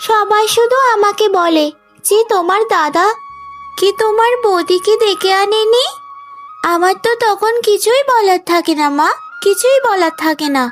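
A woman voicing a spiteful, sneering young unmarried sister-in-law in an animated moral story. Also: very high-pitched. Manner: sneering, scolding.

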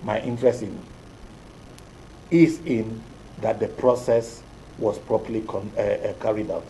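A middle-aged Nigerian man, an electoral official, answering interview questions in a TV studio in a deliberate, hesitant way with many fillers. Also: lowish voice, steady tone, dark tone, a little hiss or hum, low-quality recording. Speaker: electoral commission official, guest.

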